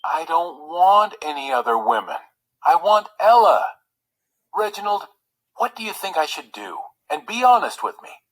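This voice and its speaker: A pampered, self-doubting young prince from a storybook, acted out by a man reading aloud. He sounds sorrowful and sulky, exclaiming plaintively with animated pitch and a slightly rough, husky tone. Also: measured pace, heavily acted, poor audio. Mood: sorrowful, pleading.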